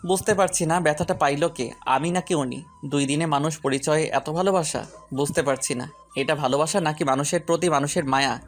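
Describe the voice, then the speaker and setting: A man's voice: on the high side, a little fast, soft background music, lightly acted. A man playing a shy, injured young man who politely protests and pleads in an audio love story. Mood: pensive.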